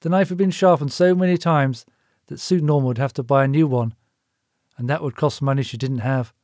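A man narrates a novel in a mid-pitched voice. The recording is very dry and close, with the scripted delivery of an audiobook reader. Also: brisk, a little mellow, no room sound.

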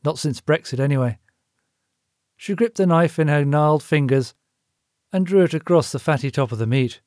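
A man's voice: a little high, moderate pace, darkish tone, no room sound, studio quality. A man reading scripted fiction as an audiobook narrator.

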